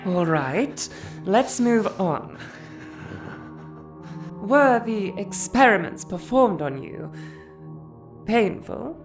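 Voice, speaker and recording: A woman voicing a formal, detached research officer in a comic dub, speaking in a measured, clinical, official manner. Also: medium pitch, very animated, music in the background, full character voice. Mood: clinical, questioning. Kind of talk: scripted comic dub voice acting.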